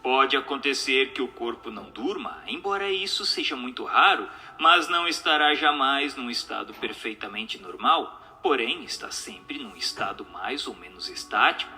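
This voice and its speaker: A man with a Brazilian accent giving a formal, measured scripted narration of a spiritual text. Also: medium pitch, lively intonation, pushed and bright. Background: music.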